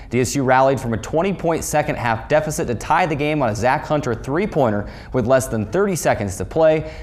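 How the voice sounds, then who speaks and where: A male sportscaster with a mid-range voice reads a scripted sports recap. He delivers it like an announcer, leaning into the opening of each new story. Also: medium pitch. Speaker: sports show host and play-by-play voice.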